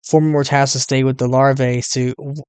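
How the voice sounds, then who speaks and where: A man hosting a reaction video, reading text aloud haltingly and adding muttered casual asides. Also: mid-range voice, flat delivery, darkish tone, clean tone, dry recording.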